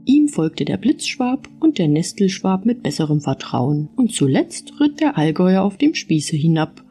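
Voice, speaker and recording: Female storyteller, lowish voice, very animated intonation, mellow tone, expressive fairy-tale narration. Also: moderate pace, husky, faint music behind. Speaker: audiobook narrator.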